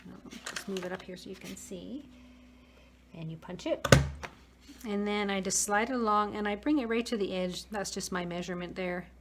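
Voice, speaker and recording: Chatty slightly hoarse woman crafting. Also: mid-range voice, normal speed, noisy background. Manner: chatty, talks while working, frequent restarts. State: slightly hoarse, losing her voice. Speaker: craft tutorial host. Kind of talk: casual crafting demonstration. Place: craft room at a work table.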